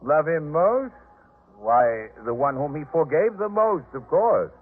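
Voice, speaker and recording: An actor playing a proud, disdainful householder in a 1950s radio drama, commanding, clipped and pompous. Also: male voice, fairly high pitch, very animated, unhurried. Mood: scornful challenge. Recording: muffled phone-like 1950s radio recording.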